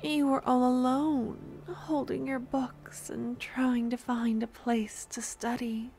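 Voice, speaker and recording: A woman voicing an obsessive, lovesick young necromancer stalker in an intimate ASMR roleplay, with a breathy, adoring, possessive murmur that often slips into whispers. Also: high-pitched, little pitch movement, darkish tone, soft background music. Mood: tender, obsessive. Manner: adoring, possessive, halting dramatic delivery.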